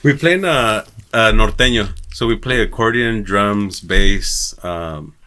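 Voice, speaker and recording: A man's voice: on the low side, a little slow, bright, forceful tone, clean tone. A man chatting casually as a band musician, informal and joking, with plenty of slang. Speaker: musician in a norteño band, asking and answering questions. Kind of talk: casual chat.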